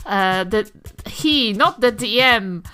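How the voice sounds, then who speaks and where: Woman player in a tabletop rpg, casual, fairly high voice, soft music behind. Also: expressive, slow, smooth. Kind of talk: casual improvised role-play chat.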